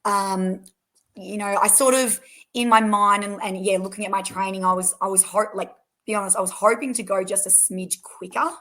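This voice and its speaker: An Australian woman in her young adult years speaks as a podcast guest, with a voice that sounds hoarse and croaky. Her pitch sits in an ordinary middle range. She talks in a loose, rambling way, full of fillers and restarts. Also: steady tone, moderate pace, pushed and bright. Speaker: race winner guest. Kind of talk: casual podcast interview.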